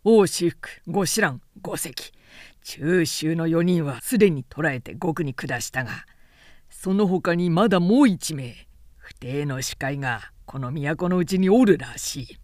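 A man's voice: on the high side, expressive, unhurried, a little mellow, dry recording, studio quality. A woman narrator voicing a menacing male warlord who questions and commands in mocking, lordly archaic speech in a storybook reading. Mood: interrogating. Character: menacing male warlord, sardonic, imperious interrogator.

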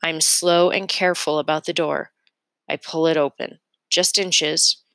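A woman with a fairly low voice reads a storybook aloud. She narrates in the first person with expression, as in a read-aloud for children. The sound is dry, without room echo. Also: fairly even intonation, unhurried, a bit bright. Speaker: reader of a children's novel aloud.

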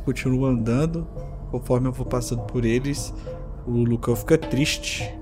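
A man with a mid-pitched voice plays a tabletop roleplaying game. He speaks casually and in character, in short, somewhat hesitant replies, with faint music underneath. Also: normal speed. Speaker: tabletop RPG player.

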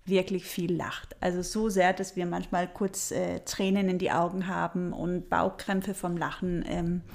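A woman speaking German as a non-native with a foreign accent, giving an informal, unhurried explanatory talk with pauses and a playful manner. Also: fairly low pitch, little pitch movement, gravelly, a little mellow. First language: not German. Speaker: astrology host.

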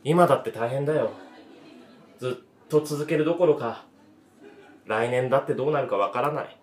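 A man's voice: medium pitch, moderate pace, lightly acted. A man in his thirties playing a weary, self-doubting cafe owner in a radio drama, speaking in a hesitant, reflective, understated way. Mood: troubled, uncertain. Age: 30s. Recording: roomy, echoing voice recording.

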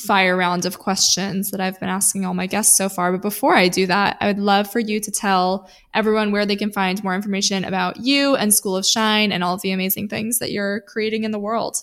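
A woman's voice: mid-range voice, level tone, fairly quick, hardly any pauses, a bit bright. Laid-back woman host, rambling questions with casual swearing. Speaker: podcast host. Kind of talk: casual interview.

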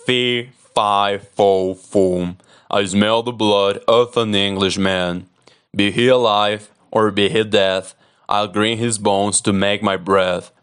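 A man voicing a huge, fearsome giant who chants his threats slowly. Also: lowish voice, fairly even intonation, a bit bright, clear, resonant tone. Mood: menacing.

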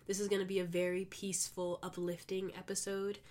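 A woman's voice: medium pitch, flat delivery, brisk, darkish tone. A woman hosting a podcast solo with a congested, stuffed-up voice, chatting casually and informally in an upbeat way. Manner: chatty, informal, frequent 'like' and 'you know'; upbeat, positive. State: sick with a cold. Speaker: podcast host.

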